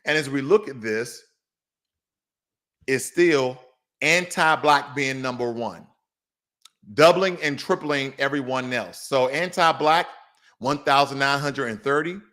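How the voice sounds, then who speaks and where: A man's voice: medium pitch, a little slow, long pauses, pushed and bright. An American man hosting a news commentary show, talking viewers through charts in a didactic, emphatic way and often checking that they follow.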